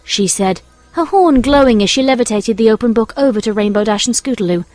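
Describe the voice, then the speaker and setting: A woman's voice: a little high, lively intonation, brisk, darkish tone, no room sound, faint music behind. Woman narrating a story, dry close sound.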